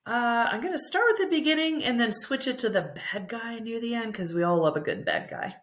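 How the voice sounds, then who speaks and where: A woman's voice: fairly high pitch, lively intonation, brisk. A woman speaking as a teacher, giving a conversational, encouraging tutorial presentation. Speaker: teacher presenting a reading app.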